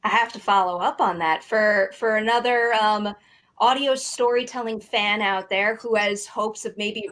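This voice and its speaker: A woman's voice: fairly high pitch, fairly bright tone, rough recording. A woman hosting a friendly interview in a chatty, upbeat way. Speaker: interview host.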